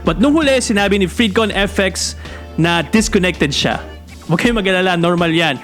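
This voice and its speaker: Filipino guy doing a casual moto vlog tutorial, kinda high voice, music playing underneath. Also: animated, a little fast, fairly bright tone. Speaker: motorcycle vlogger and host. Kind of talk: casual bilingual tutorial talk.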